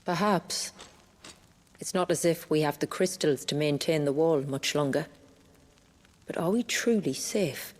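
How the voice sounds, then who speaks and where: An actress playing a calm, proud, protective warrior guardian in a fantasy game, speaking formally and deliberately. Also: female voice, deep voice. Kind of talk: cinematic fantasy game acting.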